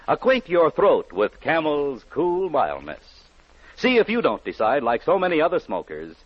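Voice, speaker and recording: A male announcer reading a 1940s radio advertisement with emphatic, polished salesmanship. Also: mid-range voice. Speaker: commercial announcer. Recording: muffled 1940s radio recording.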